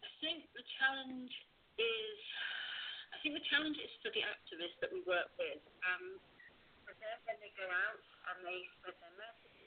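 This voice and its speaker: A woman guest answers questions about her charity work in an earnest, explanatory way. Her pitch moves a lot. The sound is thin and phone-like, with a faint hiss behind it. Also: big pitch swings, moderate pace. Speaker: guest, charity communications manager. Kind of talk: interview answers over a call.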